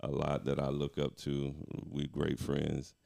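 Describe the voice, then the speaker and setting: Very deep gravelly-voiced middle-aged man. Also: measured pace. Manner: relaxed, reflective, frequent restarts and chuckles. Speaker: guest, music director. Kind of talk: casual interview talk.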